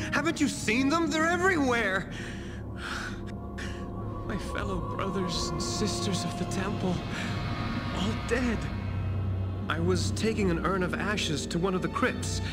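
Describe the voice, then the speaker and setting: High-pitched, fearful, faltering priest. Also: male voice. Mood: panicked. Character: frightened male priest hiding among the undead. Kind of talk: video game dialogue acting.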